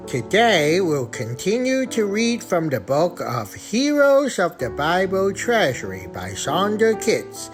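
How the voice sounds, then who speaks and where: Woman voicing a timid, low-pitched storytelling monkey. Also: soft background music, heavily acted. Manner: slow, deliberate, expressive delivery for children. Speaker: storyteller and co-host. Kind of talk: children's puppet show and storybook reading.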